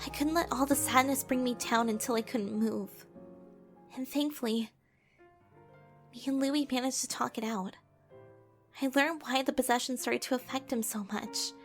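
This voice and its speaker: A woman's voice: high-pitched, normal speed, long pauses. A woman playing an earnest, wounded and insecure young woman in a dramatic audio drama, with music behind her. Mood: resolute.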